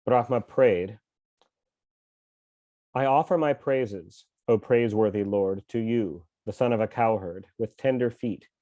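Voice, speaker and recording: Guy reading scripture aloud, a bit under the weather w a cough, mellow voice, dry dull home mic. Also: on the low side, a little slow, no echo. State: mildly ill with a cough. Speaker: scripture reader and teacher.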